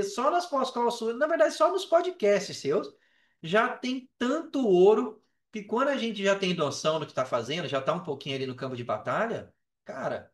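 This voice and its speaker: A Brazilian man with a high-pitched voice gives casual advice as a podcast guest. He talks in slangy, emphatic phrases that he likes to repeat. The sound is roomy and echoing, as if he sits back from the mic. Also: a bit bright. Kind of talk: casual interview talk, giving advice. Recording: roomy echo, distant from the mic.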